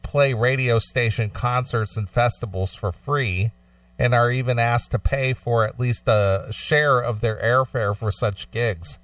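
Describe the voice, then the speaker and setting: A man's voice: mid-range voice, measured pace, a bit bright, no echo, far from the mic. A man co-hosting a radio talk show, reading news items aloud and chatting about them.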